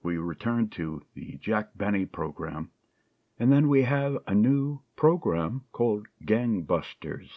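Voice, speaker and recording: A man's voice: fairly low pitch, lively intonation, unhurried, dry recording, phone-quality sound. A man hosting a radio program, announcing the evening's lineup of shows.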